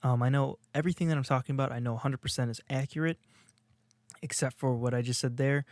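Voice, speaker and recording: A man's voice: medium pitch, steady tone, quick pace, no room sound. A young man, co-host of a podcast, rambling through a casual, self-mocking comedic monologue full of restarts.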